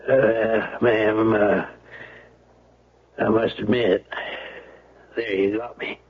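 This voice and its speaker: An actor playing a plain-spoken, courteous small-town sheriff in a radio drama, with a husky voice and folksy, deliberate talk. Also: male voice, on the low side, level tone, heavily acted. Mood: firm, courteous. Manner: folksy grammar, deliberate, deferential with "ma'am". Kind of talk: 1970s radio drama acting. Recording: muffled old radio broadcast recording.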